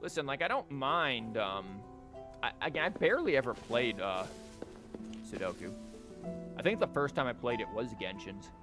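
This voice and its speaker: A male game streamer talks casually at a middle pitch. He rambles through joking asides and reads on-screen dialogue as he goes. Game music is clearly audible behind his voice. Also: sing-song, moderate pace. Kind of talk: casual live game commentary, reading dialogue aloud.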